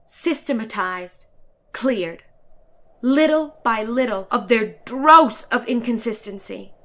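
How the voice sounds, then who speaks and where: A woman's voice: a little high, a bit bright. A woman giving a dramatic story reading as a haughty, chatty traveler, with emphatic, ornate, declamatory delivery. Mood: earnest, mocking.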